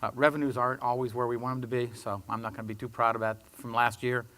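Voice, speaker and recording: A man introducing himself as a panelist, a company sustainability director, giving a steady, businesslike presentation. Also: medium pitch, fast.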